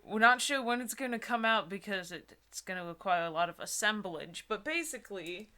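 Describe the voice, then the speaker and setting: Bright-voiced woman, giggly comedy co-host. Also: mid-range voice, expressive, fairly quick. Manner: giggly, mock-critical. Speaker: co-host of a comedy video channel. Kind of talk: casual comic commentary, chatting with a friend.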